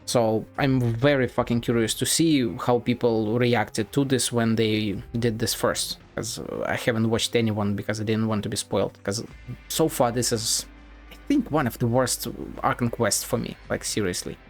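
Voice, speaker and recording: A man streaming a game and giving casual, critical commentary, blunt and sweary, in halting, repetitive bursts. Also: medium pitch, steady tone. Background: game music.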